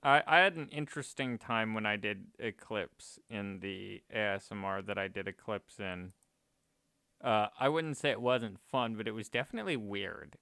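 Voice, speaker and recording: A man's voice: fairly low pitch, moderate pace, gravelly, sharp tone, no room sound, clean studio sound. A man streaming a game and chatting casually, rambling and correcting himself with small laughs. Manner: rambling, self-correcting, chuckling. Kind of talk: casual chat while gaming.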